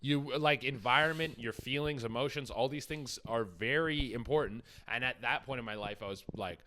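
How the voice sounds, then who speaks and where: A man's voice: medium pitch, moderate pace, background noise. A young man from Canada, a comedian guest in a casual podcast chat, rambling and laid-back with casual swearing.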